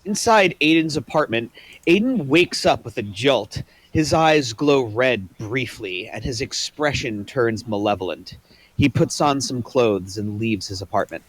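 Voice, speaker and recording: A man's voice: mid-range voice, animated, unhurried, low-quality recording. A man narrating the action in a plain, matter-of-fact way. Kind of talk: reading stage directions.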